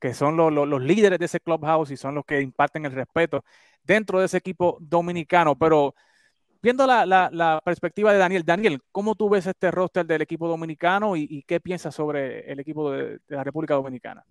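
A man moderating a sports panel, brisk and affirming as he hands questions to guests. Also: on the high side, dry recording, clean studio sound. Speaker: panel host.

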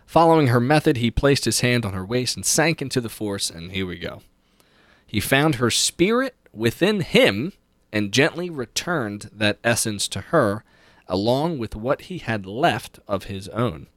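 A man's voice: medium pitch, sing-song, measured pace, no room sound, clean studio sound. A man hosting a podcast, casually recapping a book with read-aloud passages and opinionated, conversational asides. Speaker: podcast host.

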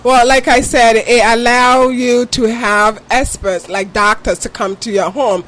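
A woman's voice: fairly high pitch, fairly even intonation, unhurried, fairly bright tone. A woman from Ghana with a Ghanaian accent, a guest on a talk show who explains insurance patiently and earnestly with examples. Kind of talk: interview answers.

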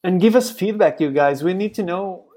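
A man co-hosting a casual tech podcast, animated and quick to laugh, with excited outbursts. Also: sits high. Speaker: podcast co-host.

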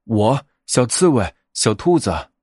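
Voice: mid-range voice, big pitch swings, takes it slowly, darkish tone, breathy edge, no echo, clean studio sound, heavily acted. A man voicing an eager, cheerful young bear cub in a children's storybook.

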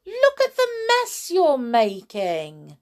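A woman's voice: high-pitched, huge pitch swings, takes it slowly, heavily acted. A woman voicing an anxious, polite young boy in a children's story, hesitant and protesting. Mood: worried, reproachful. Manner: hesitant ums, exclaiming warnings.

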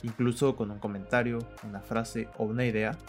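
A man's voice: lowish voice, moderate pace, reverberant. A man in his twenties from Sinaloa with a Mexican accent, hosting a casual podcast with lots of fillers. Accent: Mexican Spanish. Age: 20s. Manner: relaxed, chatty, many fillers like "pues". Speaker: podcast host. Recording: faint music, some outside noise.

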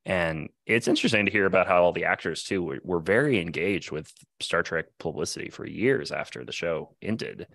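A man with a very low voice and plenty of vocal fry, hosting a casual panel chat. He muses in tentative phrases, and the sound is dry with no room echo. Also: animated, moderate pace, few pauses, a bit bright. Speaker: podcast host.

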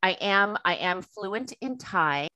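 A Californian woman speaks in a bright, forward voice with very little room sound. She is a podcast guest telling personal stories in casual, animated chat, often slipping into quoted dialogue. Her pitch sits in the middle of the female range. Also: slow, no echo. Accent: Californian American. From Los Angeles, USA.

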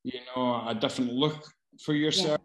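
Casting note: man, casual casting-director Q&A, hesitant and restarting, mid-pitched, roomy echo. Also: steady tone, a bit bright. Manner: halting, many fillers and restarts. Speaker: casting director answering questions. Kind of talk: casual Q&A advice.

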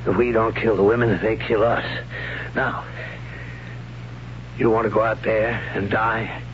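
An actor playing an older warrior hero who teaches swordplay in a gruff, blunt manner. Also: male voice, fairly low pitch, little pitch movement, a little slow, a little hiss or hum, close to an everyday voice. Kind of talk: 1970s radio drama acting. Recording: dull old radio broadcast.

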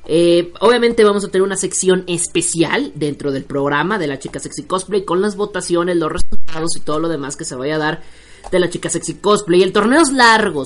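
A man's voice: high-pitched, a bit bright. Rambling, jokey male show host. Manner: rambling, jokey, many fillers and restarts. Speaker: online radio show host. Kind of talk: casual live show chat with listener shout-outs.

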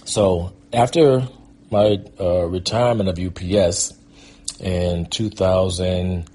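Middle aged american guy, kinda low voice, slow talker, just telling stories from his life, reflective. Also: steady tone, warm tone. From Michigan, USA. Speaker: guest storyteller and performing artist. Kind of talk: personal storytelling, casual reminiscing.

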